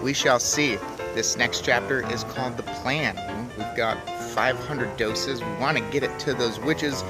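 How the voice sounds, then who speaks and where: A woman reading a story aloud as narrator, expressive, with casual asides to the listeners. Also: extremely low pitch, unhurried, loud music behind the voice, poor audio. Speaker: story reader.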